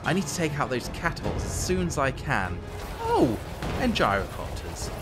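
A man presenting a strategy video game in an expressive, scripted storytelling narration. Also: on the high side, normal speed. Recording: game music behind the voice. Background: video game music.

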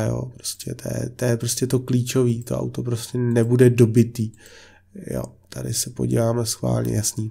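Chatty Czech man, flat dark voice. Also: medium pitch, vocal fry. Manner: chatty, heavy filler words, blunt opinions. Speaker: car vlogger. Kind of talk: casual car listing commentary.

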